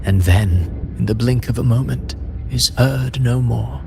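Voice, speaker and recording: Slow, theatrical male villain. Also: medium pitch, creaky, noisy background. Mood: grandly menacing. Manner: grandiose, deliberate, theatrical. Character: theatrical male villain, scheming playwright of despair. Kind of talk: scripted game villain monologue. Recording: loud music under the voice. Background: loud music.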